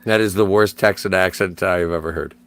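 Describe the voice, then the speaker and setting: A man's voice: sits low, fairly even intonation, a little fast, a bit bright. A man guesting on a casual podcast, teasing the host with dry sarcasm. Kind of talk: casual banter.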